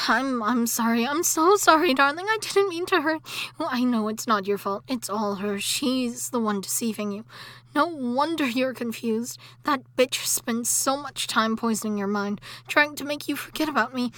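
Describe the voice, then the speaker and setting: A woman playing a possessive undead wife. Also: no echo. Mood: furious, then soothing. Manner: intimate whispering, turning to shrill shouting. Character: obsessive jealous undead wife, possessive and unstable. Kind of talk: audio roleplay drama.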